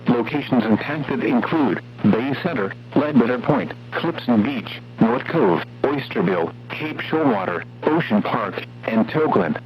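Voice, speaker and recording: A man's voice: mid-range voice, animated, measured pace, few pauses. Muffled synthetic male weather radio announcer. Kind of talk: scripted marine weather warning bulletin. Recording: muffled weather radio broadcast, noisy hum.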